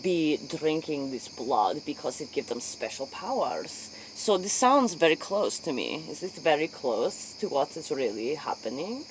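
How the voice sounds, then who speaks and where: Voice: medium pitch, very animated, measured pace, hardly any pauses, low-quality recording. Spoof talk-show interviewer, mid-range voice, inquisitive, foreign-sounding broken English, faint night-time insect noise behind. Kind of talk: role-play spoof late-night interview.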